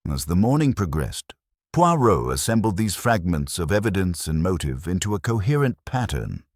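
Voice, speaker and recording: A man reading a scripted mystery story as narrator, in a formal, measured literary manner. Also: low-pitched, a little mellow, dry recording, studio quality. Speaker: audiobook narrator.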